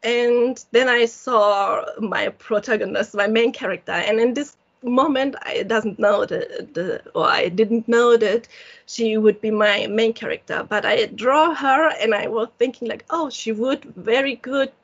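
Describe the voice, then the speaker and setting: Brief: German-accented woman, indie dev interviewee, hesitant non-native English, dull lo-fi sound. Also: fairly high pitch. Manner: halting, searching for words, frequent restarts. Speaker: solo indie game developer. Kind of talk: casual interview answers.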